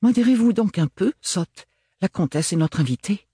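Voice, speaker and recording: A strait-laced lady, raised in pitch, speaking in a pinched and reproving tone, as a male narrator puts her on. It is a mellow, dry storybook voice. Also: animated, moderate pace, slightly rough, no room sound, heavily acted. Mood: scolding. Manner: pinched, scolding. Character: prim, disapproving older sister.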